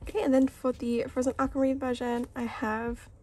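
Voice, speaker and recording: A young woman, chatting casually as a vlogger while she sorts her binders, her voice rough from a cough, often giggling. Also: high voice, level tone, fairly quick, dark tone, slight background noise. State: sounds sick, coughing voice. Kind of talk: casual chat. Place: indoors at a desk. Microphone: overhead camera, hands only.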